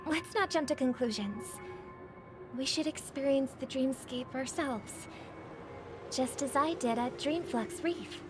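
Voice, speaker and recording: A gentle young-sounding songstress from a video game, speaking slowly in a fairly high voice. She is polite, thoughtful and measured, with music playing behind her. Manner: measured, polite, soft-spoken. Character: gentle, thoughtful famous female singer. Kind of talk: scripted game voice acting.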